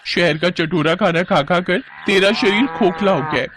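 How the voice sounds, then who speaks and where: A man's voice: high-pitched, normal speed, a crowd in the background, close to an everyday voice. A man voicing a teasing, sensible village girl, the bossy elder sister in a cartoon story. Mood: taunting. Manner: taunting, bossy.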